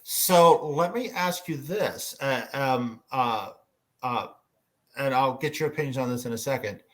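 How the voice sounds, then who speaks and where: Slow-paced man moderating a panel. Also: mid-range voice. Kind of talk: casual panel discussion.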